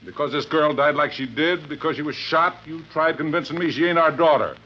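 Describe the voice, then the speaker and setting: An actor playing a bitter, blunt, hard-drinking middle-aged father in a 1950s radio drama, rough-spoken and defiant. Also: a little high, fairly quick, lightly acted. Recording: dull vintage radio recording.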